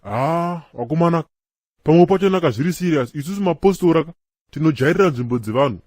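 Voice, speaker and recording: A man's voice: a little high, no room sound, studio quality. An actor voicing a chatty Zimbabwean cartoon church member who argues and laughs as he talks. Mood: lively. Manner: fast, excitable, argumentative and laughing. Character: chatty adult male apostolic church member. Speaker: cartoon character, Chikombera. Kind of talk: comic cartoon dialogue.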